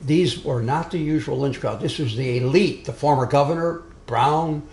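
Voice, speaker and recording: A man giving an informal history lecture to a small live audience, speaking loudly and wandering into asides and restarts. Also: medium pitch, moderate pace, echoey room. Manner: loud, digressive, with many restarts and asides. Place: meeting room with a live audience.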